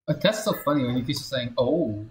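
A man's voice: medium pitch, expressive, brisk, slight background noise. A man streaming a video game with casual, playful commentary, muttering to himself and his chat in short exclamations. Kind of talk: casual live gameplay commentary. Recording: roomy echo with game music behind. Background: video game music.

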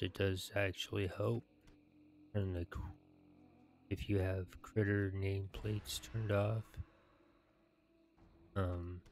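Low, flat-voiced man reading slowly. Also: gravelly. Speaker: gaming video narrator. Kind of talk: reading game quest text aloud. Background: video game music.

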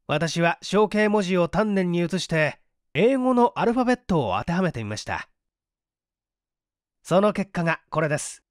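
A man's voice: high-pitched, big pitch swings, normal speed, no echo, studio quality. A man reading a mystery story aloud as scripted literary narration. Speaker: audiobook reader.

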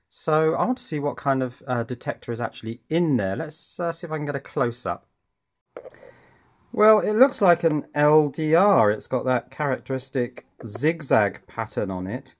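A man talks through an electronics repair at his bench. His delivery is relaxed and wry, full of small pauses and "uh"s as he thinks aloud. He sounds like a hobbyist chatting to a camera. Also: a little high. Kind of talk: casual tinkering commentary. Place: indoors at a workbench.

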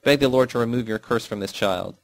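A man's voice: medium pitch, brisk, vocal fry, dry recording, close to an everyday voice. A man voicing a fanatical old mother who shrieks religious curses and accusations at her daughter in an audiobook story. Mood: raging.

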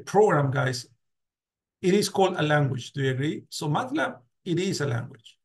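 A man lecturing a class on a video call, restarting words and often checking "got it?". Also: a little high. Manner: many restarts, asks "got it?" and "do you agree?" often. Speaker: university lecturer.

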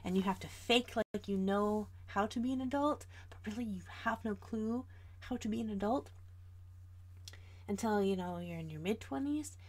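Chatty American woman with a creaky voice. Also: mid-range voice, moderate pace. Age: about 40. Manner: chatty, informal, addressing viewers directly. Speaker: host of an art livestream. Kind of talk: casual livestream chat while drawing.

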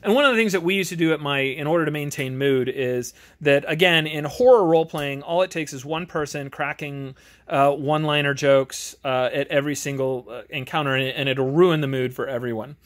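A man's voice: on the high side, brisk. A man giving a casual, rambling game review to camera in an earnest, conversational, enthusiastic manner, recorded in his home garage. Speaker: amateur tabletop game reviewer and host.

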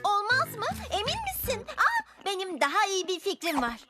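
A woman playing a spirited, playful young female Smurf in a dubbed children's cartoon, animated and bold. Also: very high voice, normal speed. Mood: playful, eager. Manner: animated, expressive. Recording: loud music under the voice.

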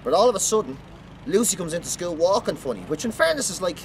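A man's voice: high voice, very animated, fast talker. A middle-aged Irish man reading a book aloud dramatically, outdoors. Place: outdoors at seafront table. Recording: faint outdoor traffic noise.

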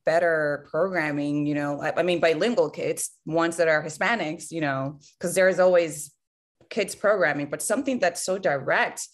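A woman's voice: low-pitched, normal speed. Enthusiastic woman hosting a video-call interview. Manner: effusive, gushing praise with many "you know"s. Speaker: interview host. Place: living room at home.

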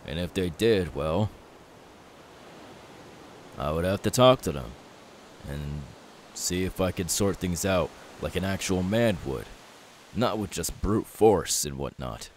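A man playing an easygoing, kindly young pirate in an ASMR roleplay, soft-spoken and unhurried. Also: sits low, animated. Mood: confident, teasing. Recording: faint background hiss.

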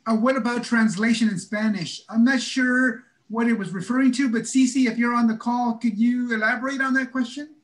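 A man helps run a community meeting over a video call. His voice sits very high for a man, and he speaks in a helpful, matter-of-fact way. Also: fairly even intonation, moderate pace, hardly any pauses. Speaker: meeting co-host.

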